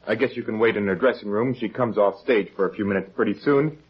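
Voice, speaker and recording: A man's voice: lowish voice, quick pace, breathy edge. An actor playing an obliging theater doorman in a 1950s radio drama. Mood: helpful. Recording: muffled, noisy 1950s radio recording.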